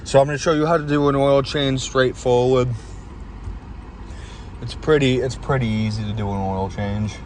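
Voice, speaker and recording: A man's voice: mid-range voice, fairly even intonation, normal speed, a little mellow. A man, chatting casually while driving and often repeating himself and restarting his sentences. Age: 20s. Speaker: vlogger doing car maintenance videos. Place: inside a moving car. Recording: car noise behind the voice.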